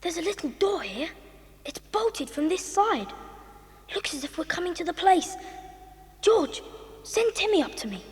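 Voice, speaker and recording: A very high, lively young boy takes charge in a children's audio drama. He is earnest and bossy, calling out orders with plenty of exclamation. The sound rings in a long, slightly noisy reverb. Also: a little slow, long pauses, full character voice. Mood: curious, commanding. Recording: echoey reverberant hall, noisy.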